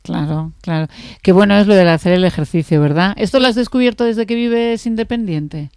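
A woman's voice: mid-range voice, fairly quick, warm tone, clear, resonant tone, dry recording, studio quality. A woman hosting a conversational interview in an encouraging, reassuring manner. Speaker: interviewer, host.

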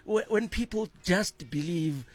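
A South African man co-hosting a morning radio show while sick with a cold, nasal and husky, joking and rambling. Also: sits high, unhurried. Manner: jokey, self-pitying, rambling. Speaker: radio breakfast show co-host. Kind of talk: casual morning radio banter. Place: radio studio. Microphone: studio microphone.

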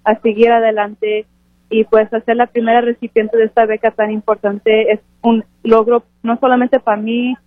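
A woman of twenty-two giving an earnest, halting, unscripted interview answer. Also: on the high side, flat delivery, dry recording. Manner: earnest, halting with self-corrections. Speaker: interviewed graduate student and scholarship recipient. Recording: phone-like radio broadcast sound.

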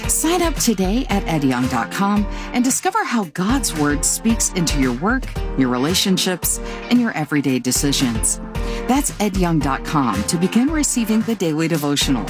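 A woman's voice: fairly low pitch, sing-song, normal speed, music in the background. A female announcer reading a scripted introduction and promotion for a radio program. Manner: smooth, promotional.

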